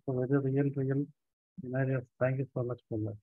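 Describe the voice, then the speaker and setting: Flat-voiced male student on a tinny line. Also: mid-range voice, a little fast, no echo. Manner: polite, grateful.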